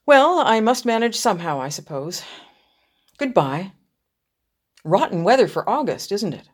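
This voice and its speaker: An actress playing a practical, sceptical editor with a dry, pointed and slightly exasperated manner. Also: female voice, mid-range voice, big pitch swings, measured pace. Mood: exasperated, resigned. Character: sceptical, practical female editor critiquing a story.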